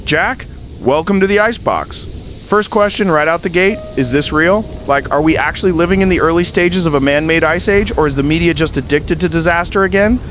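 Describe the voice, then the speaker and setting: A man's voice: a little high, brisk, fairly bright tone, slight background noise, lightly acted. A man playing an informal, skeptical show host in an audio drama, interviewing in a breezy, colloquial way. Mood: curious, skeptical. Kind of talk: casual interview hosting.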